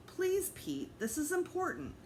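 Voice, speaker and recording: A woman's voice: very high voice, huge pitch swings, measured pace, a little hiss or hum, voice pushed up, full character voice. A woman voicing an eager, stubborn little boy in a children's book read-aloud. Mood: insistent.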